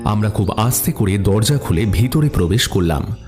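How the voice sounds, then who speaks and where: A man narrating a detective story in the first person as the sleuth's companion, in an expressive storytelling manner. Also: lowish voice, normal speed, soft background music, close to an everyday voice. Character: male detective's companion recounting the case.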